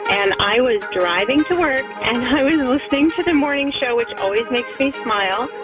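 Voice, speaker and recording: A woman's voice: a little high, lively intonation, normal speed, music in the background, low-quality recording. A woman earnestly telling her personal story for a radio promo. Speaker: listener giving a testimonial.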